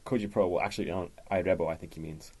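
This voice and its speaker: Guy hosting a podcast, low warm voice, easygoing chatter. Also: quick pace. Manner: relaxed and chatty, reads listener mail aloud. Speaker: podcast host. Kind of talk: casual podcast chat.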